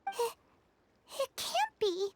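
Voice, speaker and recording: A woman voicing a small, earnest, chatty fairy companion with a squeaky childlike voice in a video game. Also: big pitch swings, takes it slowly, exaggerated voice. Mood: concerned.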